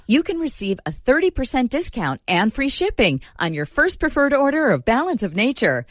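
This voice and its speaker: A woman's voice: on the high side, no echo, studio quality. A woman reading a scripted radio commercial as its announcer.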